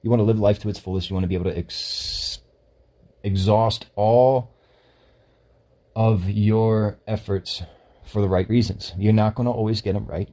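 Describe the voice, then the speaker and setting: A man's voice: lowish voice, fairly even intonation, moderate pace, dark tone. A man co-hosting a podcast in casual faith chat, rambling and correcting himself as he talks. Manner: rambling, self-correcting, laughs often. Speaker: podcast co-host.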